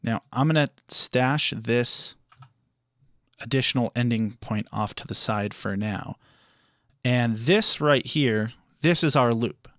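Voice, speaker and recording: A man presenting a step-by-step audio software tutorial in a measured, explanatory way. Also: medium pitch, dry recording, studio quality.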